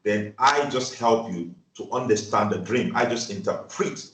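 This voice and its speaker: A Nigerian man with a lowish voice hosts a dream interpretation channel, effusive and full of repeated affirmations. He sits some way from the mic in an echoey room, with faint noise behind. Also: moderate pace, fairly bright tone, recorded from a distance. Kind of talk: ministry channel talk.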